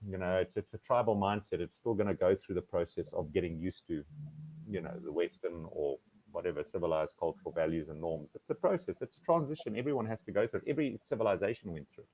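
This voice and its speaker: A man's voice: low voice, quick pace, darkish tone, husky, no echo, rough recording. A South African man tutoring over a video call, talking at length with many fillers and restarts. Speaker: online English tutor. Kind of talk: casual video-call conversation.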